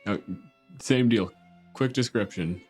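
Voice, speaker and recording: A man's voice: fairly low pitch, takes it slowly, a little mellow, slightly rough, soft background music. A man running a tabletop roleplaying game as game master, chatting casually with the players.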